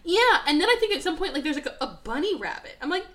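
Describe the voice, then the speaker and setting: Young female podcast host, bright and animated, swears freely, casual review chat. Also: high-pitched. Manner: profane, rapid, filler-heavy with many "like"s.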